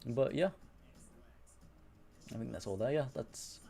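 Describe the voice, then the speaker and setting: A young man from Wales with a Welsh accent softened by English, chatting casually on a live stream, rambling, swearing and answering chat. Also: mid-range voice, lively intonation, a little slow, creaky, faint music behind. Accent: Welsh with English influence.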